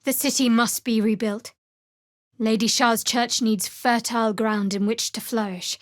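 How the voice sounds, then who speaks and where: A woman playing a guarded, devout young cleric in a video game, with a measured, dry and wry delivery. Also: medium pitch, no room sound, natural voice. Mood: measured, musing. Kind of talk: video game character voice lines.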